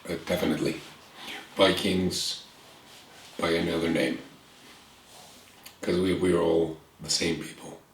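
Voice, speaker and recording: Deep, flat-voiced Icelandic man. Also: vocal fry. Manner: earnest, reflective, pausing in short phrases. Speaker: guest. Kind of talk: candid conversation. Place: room with bookshelves and a window, at a table. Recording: echoey room with faint hiss.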